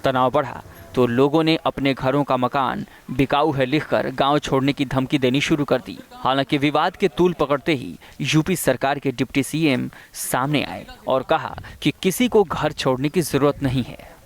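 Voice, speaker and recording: Male Hindi news voice-over, mid-pitched. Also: moderate pace. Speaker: news reporter narrating a report.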